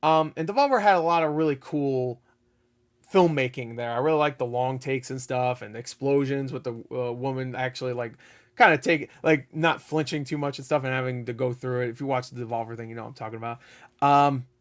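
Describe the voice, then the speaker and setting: A man hosting his own gaming channel, giving a rambling, excitable review with frequent laughs and the odd swear, his voice getting a little raspy. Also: medium pitch, fairly quick. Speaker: gaming video host. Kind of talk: casual unscripted review.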